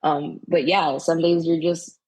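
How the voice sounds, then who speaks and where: A woman's voice: low voice, little pitch movement, measured pace, a little mellow, breathy edge. A woman who plays professional sport, chatting casually as a guest on a podcast. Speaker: podcast guest, pro cornhole player. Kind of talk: casual interview chat.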